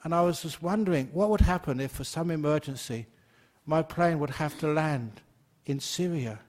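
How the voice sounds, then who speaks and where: A man's voice: fairly high pitch, moderate pace, darkish tone. Older man, monk leading Q&A, raised voice, laughs a lot, chatty. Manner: jokey, laughs often, chatty with fillers. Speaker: Buddhist monk and teacher. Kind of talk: Dharma talk Q&A.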